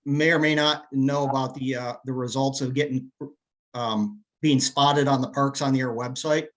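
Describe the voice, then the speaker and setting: A man's voice: medium pitch, fairly bright tone, rough recording. A man speaking casually as a meeting participant, putting questions to a presenter. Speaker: club meeting attendee asking a question.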